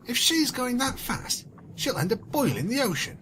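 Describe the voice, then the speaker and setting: A man's voice: very high-pitched, big pitch swings, normal speed, pushed and bright, slight background noise, pitched above the performer's own voice, full character voice. A man voicing a haughty, scheming female lobster-pony from a cartoon fan story in a theatrical manner. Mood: contemptuous.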